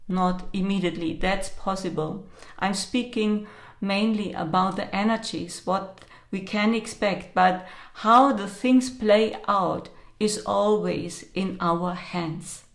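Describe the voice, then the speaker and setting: Slow-spoken woman, non-native English. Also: medium pitch, roomy sound. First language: not English. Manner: slow, thoughtful, hesitant with fillers. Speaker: astrologer. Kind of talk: monologue on astrology.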